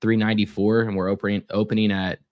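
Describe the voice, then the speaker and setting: A man's voice: fairly low pitch, level tone, fast, highs cut off. A young man losing his voice, running a casual live trading stream with lots of fillers and repeated words.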